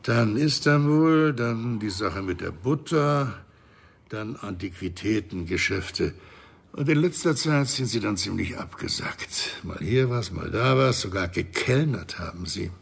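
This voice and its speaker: An actor playing a smug, middle-aged interrogator in a crime radio drama, mock-polite and needling in a husky, gravelly voice. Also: male voice, fairly low pitch, lively intonation, measured pace, heavily acted. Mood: smug, accusing. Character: smug, menacing male consultant interrogating his captive.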